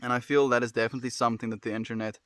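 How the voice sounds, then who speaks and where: A young man with a South African accent, hosting a casual livestream, answering chat in a gentle, affectionate way and often calling listeners "my friend". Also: mid-range voice, flat delivery, very fast, dry recording. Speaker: livestream host reading chat.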